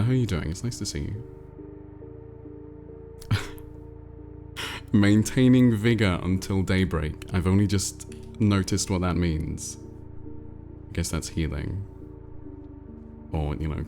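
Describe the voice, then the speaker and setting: A man with a nasal, congested voice from a cold, chatting casually on a livestream close to the mic and reading viewer messages aloud in a relaxed, rambling way. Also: low-pitched, measured pace, long pauses, faint music behind. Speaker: livestream host.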